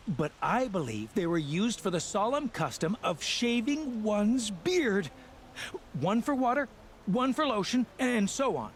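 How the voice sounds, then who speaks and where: An actor playing a pompous, excitable scholar of ancient artifacts in a video game, with theatrical enthusiasm. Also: male voice, high voice, a little hiss or hum. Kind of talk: video game character acting.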